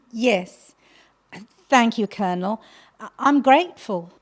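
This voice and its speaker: A woman's voice: a little high, expressive, takes it slowly. An actress playing a wary, deferential young captive woman in an audio drama, speaking hesitantly and politely. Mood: grateful, deferential.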